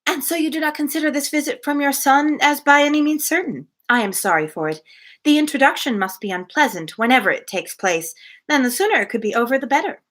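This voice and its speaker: A woman voicing a genteel, strong-willed young gentlewoman in a storybook reading, with formal, measured phrasing. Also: high voice, lively intonation, pitched above the performer's own voice. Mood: polite, probing.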